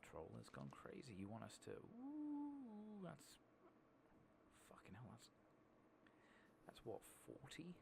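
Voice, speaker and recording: A man doing soft-spoken ASMR commentary over a game, casually rambling and thinking aloud with the odd swear. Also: on the high side, huge pitch swings, takes it slowly, creaky, slight background noise. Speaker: gaming streamer.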